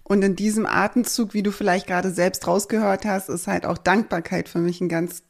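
A woman in her forties from Germany, a podcast host calmly introducing herself with fillers and repeated words. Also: mid-range voice, a little fast, gravelly. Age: 40s. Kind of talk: personal introduction monologue.

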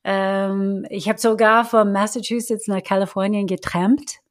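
A middle-aged woman with an American accent and English as her first language, speaking German haltingly with many fillers and restarts as she tells her life story in an interview. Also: medium pitch, fairly even intonation. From United States. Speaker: guest, actress. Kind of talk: casual interview, personal story.

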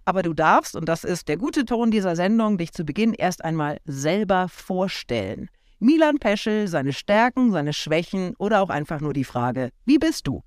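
A woman hosting a Sunday breakfast radio interview, lively and chatty, teasing her guest. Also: on the low side, normal speed, few pauses, no room sound, studio quality. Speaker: radio show host.

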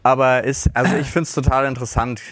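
A man's voice: medium pitch, fairly even intonation, fast talker, a bit bright, dry recording. A man chatting casually as a podcast co-host, explaining things in a hesitant, self-correcting way. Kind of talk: casual discussion among friends.

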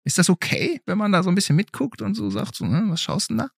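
A middle-aged man hosts a casual interview. His voice is slightly rough and swings widely in pitch as he puts playful, curious questions. The sound is dry, with little room around it. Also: wildly swooping pitch, fast talker, no echo. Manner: playful, inquisitive. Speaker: podcast host interviewing a child.

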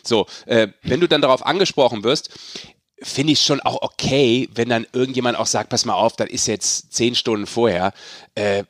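A man's voice: mid-range voice, normal speed, fairly bright tone, no room sound, clean studio sound. A man hosting a sports podcast, talking casually but earnestly and giving his opinions. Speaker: podcast host and sports reporter.

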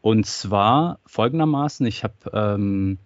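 A man's voice: fairly low pitch, expressive, slow, dry recording. A man who grew up in Germany, speaking as a podcast guest in a casual interview, hesitant with many fillers and restarts. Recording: dull lo-fi dry sound.

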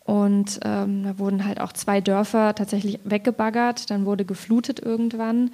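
A woman's voice: mid-range voice, flat delivery, normal speed, mellow. A woman speaking as a poet in an informal literary conversation, thinking aloud in a reflective way. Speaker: poet in discussion.

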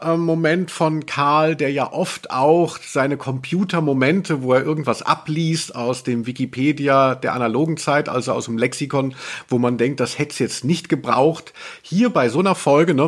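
A man co-hosting a podcast in casual conversation, talking in an ironic, rambling way. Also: mid-range voice. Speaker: podcast co-host. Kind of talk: casual chat, review of audio dramas.

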